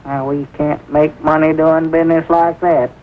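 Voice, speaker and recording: An actor playing a soft-hearted, fretful older country storekeeper with a rural Southern accent, in 1940s film style. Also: male voice, fairly high pitch, level tone, moderate pace, slight background noise, natural voice. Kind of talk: 1940s film comedy-drama acting. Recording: tinny 1940s film soundtrack, loud music behind.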